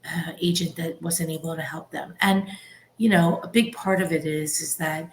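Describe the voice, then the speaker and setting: A middle-aged woman hosting a podcast, talking in a soft, measured, reflective way. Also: sits low, fairly even intonation. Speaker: podcast host, real estate broker. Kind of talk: casual podcast conversation.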